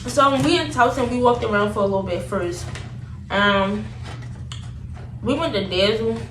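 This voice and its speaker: A young woman tells a story in a casual, animated way. Her talk is slangy and loops back on itself as she retells things. She is a little far from the mic in an echoey room, with clear background noise. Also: medium pitch, moderate pace. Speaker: vlogger telling a story. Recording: roomy, distant mic, noticeable background noise.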